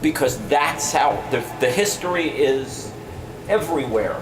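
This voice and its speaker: Dad ranting at a school board mic, roomy hall, kinda far off and noisy. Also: male voice, a little high, expressive, a little slow. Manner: emphatic, keeps asking "right?". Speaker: parent giving public comment. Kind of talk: public comment at a school board meeting. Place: large school meeting room. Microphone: stand mic a few feet away. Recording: roomy, distant, noisy room sound.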